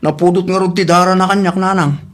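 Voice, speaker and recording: A man's voice: high voice, steady tone, fast, fairly bright tone. A man playing an aggrieved, defiant son-in-law in a comedy drama, complaining defensively with an Ilocano accent.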